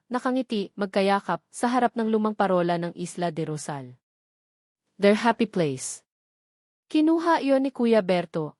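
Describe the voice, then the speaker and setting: A woman's voice: mid-range voice, darkish tone, dry recording, studio quality. A woman narrating a scripted love story in a dramatic, emotive audiobook style.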